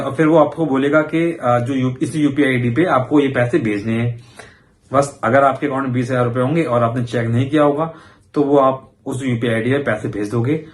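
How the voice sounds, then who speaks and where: A man hosting an informal explainer for viewers, talking conversationally and mixing in English words. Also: medium pitch. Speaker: host of a banking awareness video channel.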